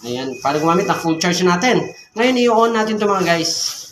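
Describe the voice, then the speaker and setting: A man's voice: high-pitched, lively intonation, normal speed, fairly bright tone. Filipino man vlogging in an echoey room. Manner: repeats check words like "alright" and "okay", explanatory. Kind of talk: casual unboxing and tutorial. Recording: echoey room with background noise and clicks.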